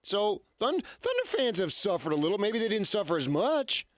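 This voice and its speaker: A man's voice: sits high, wildly swooping pitch, moderate pace, dry recording. A man co-hosting a sports talk radio show in a casual, emphatic way, repeating his points and asking rhetorical questions on a close studio mic. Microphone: close studio broadcast mic.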